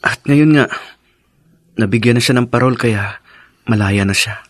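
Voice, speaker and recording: A man's voice: mid-range voice, a little slow, dry recording, close to an everyday voice. A man with a Filipino accent playing a devoted, earnest young husband in a radio drama. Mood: earnest, explaining. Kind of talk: Philippine radio drama acting.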